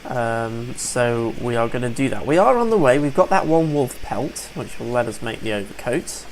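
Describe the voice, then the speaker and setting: A man's voice: medium pitch, big pitch swings, normal speed, slight background noise, low-quality recording. A man giving casual, upbeat commentary over a survival game, a bit sniffly from allergies and chatting to viewers as folks. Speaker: let's play gamer.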